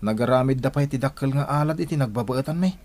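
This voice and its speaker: An actor playing a poor, anxious village husband with a husky voice and a complaining manner in an Ilocano radio drama. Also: male voice, medium pitch, fast, a little mellow, close to an everyday voice. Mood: grumbling. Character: poor village husband, anxious, grumbling about rich neighbors.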